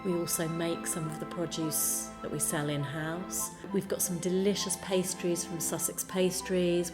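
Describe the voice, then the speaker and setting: A woman with a slightly low voice presents as a staff member in a promotional talk. Music plays clearly underneath her. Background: music bed.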